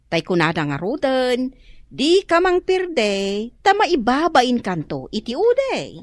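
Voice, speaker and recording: A chatty, level-headed neighbour in an Ilocano radio drama. Her Filipino-accented voice is high and very animated in pitch. She talks conversationally and is recorded dry. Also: takes it slowly, no echo, full character voice. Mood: friendly, gossipy.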